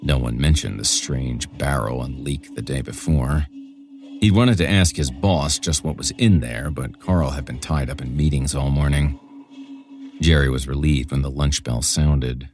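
A man's voice: extremely low pitch, a little slow, a little mellow. A man reading a scripted horror story as a podcast narrator, with music behind him.

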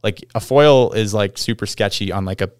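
Podcast guest: Canadian man, lowish clean voice, informal enthusiastic explainer, dry recording. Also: moderate pace, clean tone, no room sound. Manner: surfer slang, informal. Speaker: podcast guest, pro snowboarder. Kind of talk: casual chat, enthusiastic explaining.

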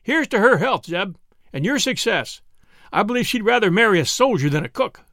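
A man doing a cheeky little soldier who pipes up in a high, teasing voice that leaps about. The sound is dry and close. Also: sing-song, unhurried, sharp tone, slightly rough, no echo, exaggerated voice. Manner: piping, teasing. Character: small young male soldier, cheeky and piping.

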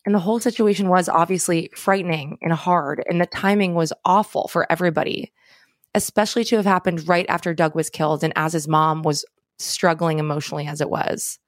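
A woman's voice: on the low side, fairly even intonation, brisk, dry recording, studio quality. A woman co-hosting a true crime podcast, narrating the case and dropping casual asides. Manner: conversational, adds casual asides. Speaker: podcast co-host.

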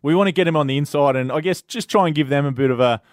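A man's voice: on the high side, rapid-fire, dry recording. Easygoing Australian man, dry close sound. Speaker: co-host, club insider. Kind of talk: casual sports chat.

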